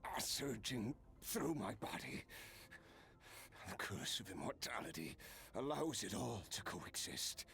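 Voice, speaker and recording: An actor playing a weary, pain-wracked immortal warrior in a video game, speaking slowly in a hushed, half-whispered voice. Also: male voice, lowish voice, sing-song, creaky, heavily acted. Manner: slow hushed whispering speech.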